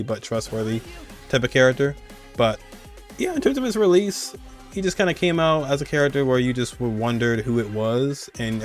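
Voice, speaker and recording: A man in his twenties giving casual, rambling commentary as he hosts a video countdown. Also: mid-range voice. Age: 20s. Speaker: video host. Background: music under the voice.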